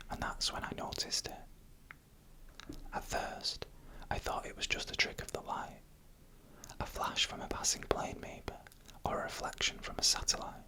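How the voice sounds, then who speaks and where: A narrator tells eerie first-person stories in a breathy, unvoiced ASMR whisper, with slow and deliberate suspense. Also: rough recording. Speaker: ASMR storyteller.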